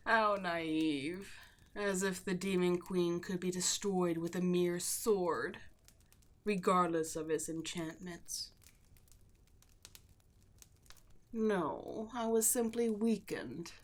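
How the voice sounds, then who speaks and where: A woman playing a seductive, condescending demon queen in a scripted ASMR roleplay, gloating at the listener and dropping at times into breathy whispers. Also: on the low side. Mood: gloating, taunting. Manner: slow, taunting, condescending, drops into breathy whispers.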